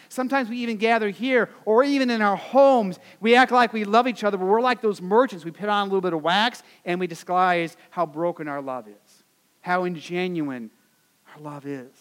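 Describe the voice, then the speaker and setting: Earnest American pastor in a reverberant hall. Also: male voice, high voice, fairly bright tone. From United States. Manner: earnest, repetitive emphasis, many fillers. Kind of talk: sermon.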